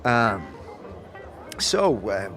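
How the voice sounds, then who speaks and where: A man's voice: medium pitch, sing-song, extremely slow, over music, full character voice. A man voicing an awkward, polite wanderer in an audio drama, hesitant and stammering. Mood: awkward, unsure. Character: awkward, hesitant male wanderer new in town.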